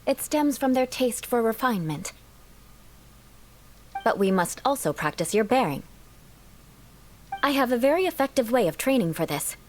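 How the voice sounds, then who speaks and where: A woman's voice: fairly high pitch, very animated, normal speed, pauses often, slight background noise. A woman voicing a poised, haughty young noblewoman knight in a video game, formal, refined and coolly teasing. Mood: formal, instructive.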